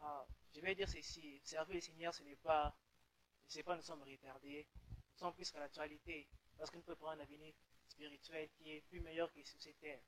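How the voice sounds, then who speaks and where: Young man, slightly high voice. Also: little pitch movement, brisk, fairly bright tone, poor audio. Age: young adult. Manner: earnest, repeats words while searching. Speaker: guest.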